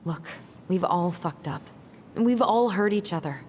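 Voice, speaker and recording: An actress playing a gentle young woman in a video game, a sister who speaks earnestly and tries to keep the peace. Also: on the low side, animated, moderate pace, a little hiss or hum, close to an everyday voice. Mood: conciliatory. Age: young adult. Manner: conciliatory, earnest. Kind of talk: naturalistic video game acting.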